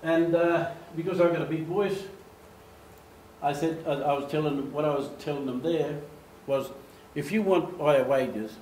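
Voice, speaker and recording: An older man from Australia, giving an animated storytelling talk at a lectern in a meeting room. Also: a little high, moderate pace, slight background noise. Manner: animated, gestures, acts out quoted voices. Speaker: speaker giving a slide talk. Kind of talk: storytelling presentation. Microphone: far camera. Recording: echoey room, faint ambience.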